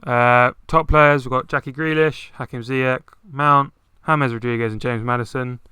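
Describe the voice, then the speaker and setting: A young man chatting casually about football, dropping "like" into nearly every phrase. His voice is bright and very clear. The sound is dry and close, with little room. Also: medium pitch, steady tone, moderate pace, no room sound. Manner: chatty, many "like" fillers. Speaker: podcast co-host.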